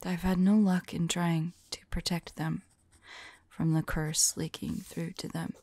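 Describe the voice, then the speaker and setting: A woman playing a weary, devoted vampire lover in an ASMR audio roleplay, speaking softly and haltingly in a breathy, whispery voice. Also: lowish voice, heavily acted. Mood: sorrowful. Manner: gentle, halting, hushed delivery. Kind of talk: ASMR audio roleplay, soft intimate acting.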